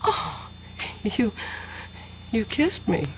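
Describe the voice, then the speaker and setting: A woman's voice: medium pitch, big pitch swings, very slow, exaggerated voice. An actress playing a smitten, coy young woman in an old-time romantic radio drama. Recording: muffled, noisy old radio recording.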